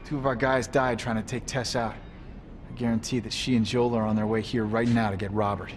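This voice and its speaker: An actor playing a hostile hired thug in a video game. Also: male voice, mid-range voice, little pitch movement, a little fast, a little hiss or hum, natural voice. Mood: wary. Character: hostile male hired thug guarding his boss. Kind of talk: video game dramatic acting.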